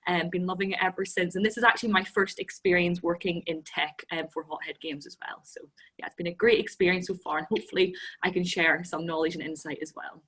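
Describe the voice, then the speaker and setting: A woman's voice: fairly low pitch, fairly even intonation, quick pace, a bit bright, breathy edge. A woman from Ireland with an Irish accent introducing herself as an HR generalist, friendly with many ums. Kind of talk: self-introduction on a video call. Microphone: video call.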